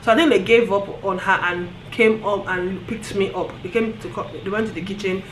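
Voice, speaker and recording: A woman with a Nigerian accent telling a story on her vlog in an animated way, often breaking into laughter. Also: mid-range voice, a little fast, a little hiss or hum. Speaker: vlogger. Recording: roomy echo, faint ambience.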